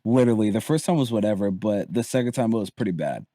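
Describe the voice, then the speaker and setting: A man's voice: fairly low pitch, lively intonation, fast talker, mellow, no echo, studio quality. A man in his early twenties from Barbados, a guest chatting casually, rambling with restarts and casual swearing. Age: early 20s. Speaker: guest content creator. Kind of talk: casual podcast chat.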